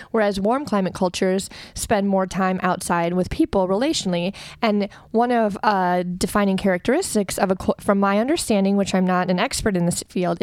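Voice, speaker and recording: A woman's voice: medium pitch, smooth, no echo. A woman, co-hosting a casual podcast, speaking thoughtfully with many hesitations. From Eastern Iowa, USA. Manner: thoughtful, hedging, many ums. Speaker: podcast co-host.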